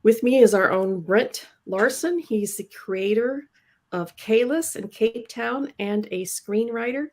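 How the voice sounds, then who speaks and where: A woman's voice: a little high, slow pace, darkish tone. A middle-aged woman with an American accent, hosting a live show in a casual, chatty, joking way. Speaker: host.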